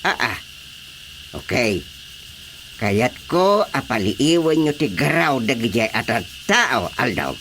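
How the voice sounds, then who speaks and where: A man's voice: mid-range voice, big pitch swings, takes it slowly, a bit bright, slight background noise. A man with an Ilocano accent playing a village chairman who asks questions in a radio drama comedy. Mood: questioning, stern. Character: male village chairman questioning witnesses about thefts.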